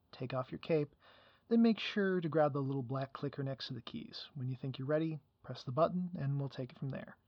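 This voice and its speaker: A man's voice: medium pitch, dry recording, close to an everyday voice. Man acting a tender husband on muffled voicemail. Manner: tender, teasing. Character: affectionate, playful husband, a reformed villain leaving voicemail. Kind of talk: intimate voice message in audio roleplay. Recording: muffled cellphone voice message, dry.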